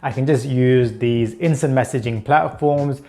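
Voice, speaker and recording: A man's voice: medium pitch, a little slow, warm tone. Earnest young man who stutters. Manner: stutters with repetitions and blocks; reflective and earnest. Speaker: channel host. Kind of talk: personal vlog monologue.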